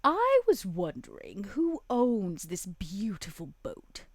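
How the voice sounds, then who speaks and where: A woman's voice: mid-range voice, slow, no room sound, full character voice. Woman playing a coy, sly vampire widow. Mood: coy flattery. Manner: coy, flattering, silky. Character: flirtatious, sly vampire widow feigning sweetness.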